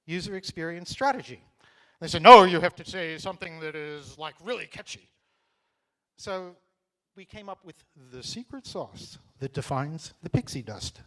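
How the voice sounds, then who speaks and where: A middle-aged American man from Texas, giving a joking, chatty conference talk on stage and speaking slowly for a foreign audience. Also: fairly high pitch, pushed and bright. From Texas, United States. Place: conference hall.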